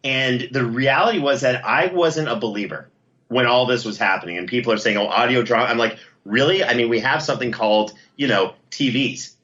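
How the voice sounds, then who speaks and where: A mid-pitched man with a bright, forceful voice gives a conversational interview. He tells things emphatically, like someone pitching a story. The recording is a bit dull and lo-fi. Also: fairly quick. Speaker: podcast host and producer, interview guest.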